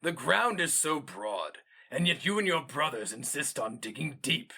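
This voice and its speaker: A man's voice: a little high, very animated, moderate pace, pushed and bright, slightly rough, voice pushed up, heavily acted. Man voicing a commanding, haughty hero mage. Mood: condescending.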